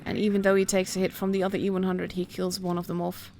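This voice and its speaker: A woman's voice: fairly low pitch, monotone, fast, creaky, a little mellow, a little hiss or hum. A woman with a Dutch accent giving casual, chatty live commentary on a game as a stream host. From Netherlands. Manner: chatty, informal, reacting as play unfolds.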